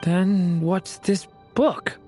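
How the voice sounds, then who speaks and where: A gentle, earnest dragon boy for an anime game. The voice sits low and moves slowly, with hesitant but expressive shifts. Faint music plays behind. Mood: puzzled, earnest. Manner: hesitant, soft-spoken, earnest.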